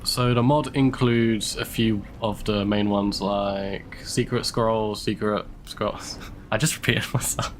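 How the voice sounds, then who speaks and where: A man's voice: lowish voice, steady tone, takes it slowly, a little hiss or hum. A young man with a London English accent, stuffy from a cold, chatting casually while streaming a game live. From United Kingdom. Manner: chatty, laughs often, thinks aloud. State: stuffy from illness. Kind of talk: casual gameplay commentary.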